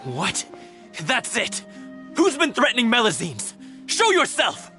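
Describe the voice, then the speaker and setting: An actor playing a brash, furious defender in a video game, confrontational and exclamatory. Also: male voice, very high-pitched, huge pitch swings, a little slow, music in the background, full character voice. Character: furious, brash defender challenging hidden cowards.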